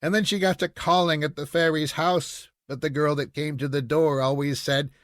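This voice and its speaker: Higher-pitched male storybook reader, dry sound. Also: fairly even intonation, no room sound. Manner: measured storytelling with acted dialogue. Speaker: audiobook reader. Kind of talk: expressive storybook narration.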